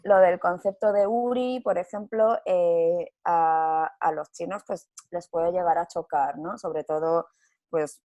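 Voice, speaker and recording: A woman's voice: fairly low pitch, steady tone, slow, dark tone. A woman with a Spanish accent from Spain, talking casually and expressively as an interview guest.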